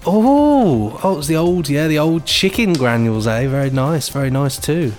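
Music plays softly behind a British man of medium pitch. He chats as a podcast co-host in a jokey, whimsical way, rambling along in loose, casual banter. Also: a little fast. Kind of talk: casual comic chat.

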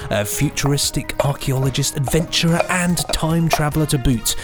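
A man's voice: a little high, normal speed, loud music behind the voice. A man co-hosting a podcast, presenting news in an upbeat style with playful, teasing banter. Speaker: podcast co-host.

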